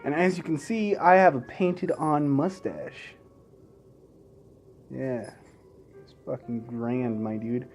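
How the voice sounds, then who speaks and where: A man's voice: medium pitch, slow pace, pauses often, darkish tone, soft background music. A man hosting a let's play in an informal, sweary way, mixing casual gaming commentary and asides with game text read aloud.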